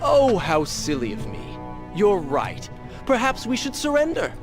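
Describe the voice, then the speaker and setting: A sardonic young prince. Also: male voice, high voice, wildly swooping pitch, unhurried, full character voice. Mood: wry. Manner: dry, sarcastic. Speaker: video game character. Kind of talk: game cutscene acting. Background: game music.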